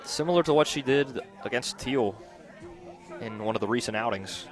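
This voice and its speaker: A man giving conversational color commentary on a live softball broadcast from the field. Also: mid-range voice, moderate pace, faint music behind, a faint crowd. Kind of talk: conversational live sports analysis. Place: outdoor softball field, under a tent.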